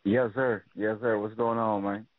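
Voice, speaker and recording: A man's voice: on the low side, fairly even intonation, normal speed, no echo. A man from the northern United States calling a radio show as an admiring fan, chatting in slang peppered with filler tags. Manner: slangy, admiring, frequent "you know" tags. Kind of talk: casual chat. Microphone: phone call. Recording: dry, tinny phone line.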